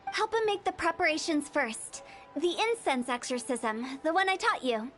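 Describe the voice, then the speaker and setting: A self-assured young female exorcist in a video game. Her voice is high, her delivery brisk, breezy and theatrical, with music clearly playing behind her. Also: big pitch swings. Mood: brisk, reassuring.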